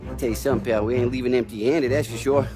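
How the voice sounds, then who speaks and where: An actor with an American accent playing a threatening, taunting gangster in a crime game, music behind him. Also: male voice, medium pitch, fairly even intonation, very fast, natural voice. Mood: menacing. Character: menacing, taunting male gangster thug. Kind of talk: cinematic crime game acting.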